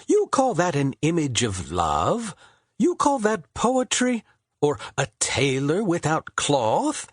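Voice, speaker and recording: Guy doing a big theatrical poet in his 40s, high and slow, swoops all over, dry husky sound. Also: wildly swooping pitch, no echo, exaggerated voice. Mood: scolding, theatrical. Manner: drawn-out, declaiming, slow and lofty. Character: theatrical poet, 41-year-old man, grandly wordy.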